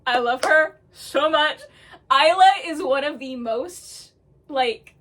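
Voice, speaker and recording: A woman vlogger gushing about her own characters in casual talk, excitable and full of "um" fillers. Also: sits high, takes it slowly. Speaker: storyteller vlogger.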